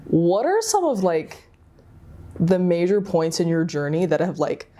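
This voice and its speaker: A young adult woman interviewing casually as a co-creator, effusive and animated in the way she tells things. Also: lowish voice, a little slow.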